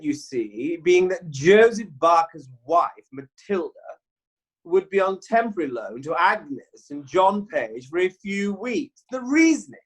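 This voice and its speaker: A man playing a genteel medieval lawyer who pleads a case in a measured, deliberate way. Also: high voice, low-quality recording. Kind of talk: amateur radio drama.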